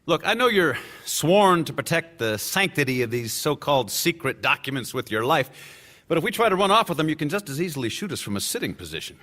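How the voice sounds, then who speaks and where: An actor playing a wry, middle-aged lawyer and war veteran in a radio drama, talkative and argumentative. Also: male voice, on the high side, sing-song, fairly quick, pushed and bright, exaggerated voice. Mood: chatty, wry. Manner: sardonic, chatty, argumentative. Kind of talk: naturalistic radio drama acting.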